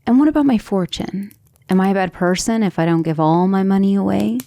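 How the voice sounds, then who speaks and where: A woman playing a gentle, earnest, devoted female vampire in an intimate ASMR roleplay, speaking tenderly and a little hesitantly. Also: medium pitch, a little fast, dry recording, lightly acted. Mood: troubled, doubting. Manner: soft, hesitant, tender, often breathy near-whisper.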